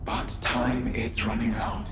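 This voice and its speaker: An actor playing a loyal, weary servant commander in a video game, a man speaking formally and gravely. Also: medium pitch, little pitch movement, takes it slowly, lightly acted. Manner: deferential, grave, formal, pleading. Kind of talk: dramatic video game acting. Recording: echoey, noisy transmission.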